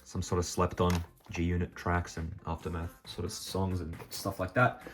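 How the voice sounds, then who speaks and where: A man's voice: sits low, little pitch movement, normal speed, fairly bright tone, soft background music. A man with an Australian accent talking casually and off the cuff to viewers as he presents a collection, rambling a little with hesitant asides. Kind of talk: casual unscripted show-and-tell to viewers.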